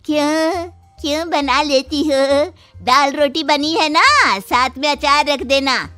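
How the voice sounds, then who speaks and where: Woman doing an imperious, high-voiced mother-in-law. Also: takes it slowly, bright, forceful tone, faint music behind, exaggerated voice. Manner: imperious, scolding, emphatic. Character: demanding, haughty middle-aged mother-in-law on exchange.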